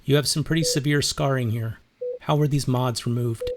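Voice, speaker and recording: A man voices a brisk doctor in an audio drama. He is matter-of-fact and clinical at a medium pitch, with slight noise behind him. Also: steady tone, close to an everyday voice. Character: brisk, businesslike doctor processing new arrivals.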